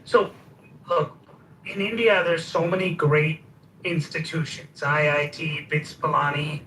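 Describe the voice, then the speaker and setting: Man, forceful bright voice, halting off-the-cuff debater, heard off-mic in an echoey room with faint noise. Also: a little high, steady tone, recorded from a distance. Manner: halting, repeats words. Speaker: discussion participant.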